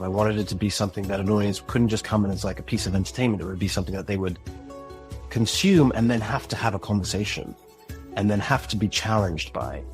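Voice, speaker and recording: A man talking as a podcast guest about creating an audio drama, thoughtful and earnest. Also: fairly low pitch. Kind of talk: reflective interview talk.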